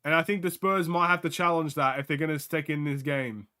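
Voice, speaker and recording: A young Australian man with a light accent talks through a live basketball watchalong, reacting excitably and chatting with viewers. His voice sits a bit high for a man, with a bright, forceful edge. He laughs easily and keeps the commentary loose and casual. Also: brisk, clean tone. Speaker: streamer hosting a game watchalong.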